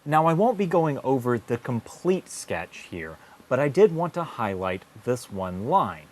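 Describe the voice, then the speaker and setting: A man's voice: mid-range voice, very animated, unhurried, a little mellow. A man narrating a scripted technical tutorial as an instructor, explaining things methodically in carefully phrased steps. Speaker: instructor and host of an electronics tutorial series.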